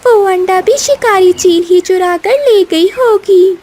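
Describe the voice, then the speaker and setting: A woman's voice: very high-pitched, slow pace, darkish tone, voice pushed up. A woman voicing a concerned, sympathetic female parrot with a plaintive manner in a children's cartoon. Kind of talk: Hindi children's cartoon voice acting.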